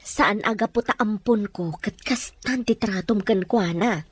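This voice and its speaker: A woman's voice: mid-range voice, measured pace, slightly rough, dry recording. A woman playing a protective, worried middle-aged rural mother, firm and anxious, in an audio drama. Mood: indignant. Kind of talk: Ilocano audio drama dialogue.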